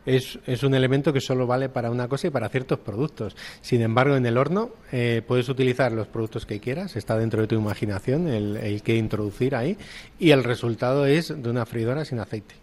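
A man's voice: mid-range voice, a little fast, a little mellow. A man, a chef answering interview questions and searching a little for his words. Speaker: professional chef, guest.

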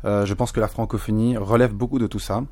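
A man from Spain, a French speaker, interviewing a filmmaker with measured questions and frequent hesitations. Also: fairly low pitch, steady tone, darkish tone, no room sound, clean studio sound. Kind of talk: festival interview.

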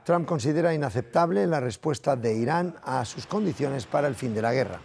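A man's voice: mid-range voice, expressive, brisk, creaky, a little mellow, slight background noise. A man with a Castilian Spanish accent presenting the news in a scripted reading style.

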